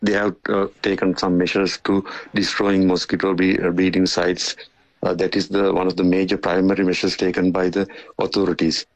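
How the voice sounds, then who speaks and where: A man with a Sri Lankan accent giving a formal, somewhat halting news report as a correspondent. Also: deep voice, little pitch movement, no room sound.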